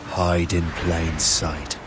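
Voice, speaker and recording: A man's voice: low-pitched, level tone, very noisy. An actor playing a grave, regretful Irish assassin, narrating his life story solemnly in a video game, with an Irish accent. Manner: solemn, measured. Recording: loud music behind narration.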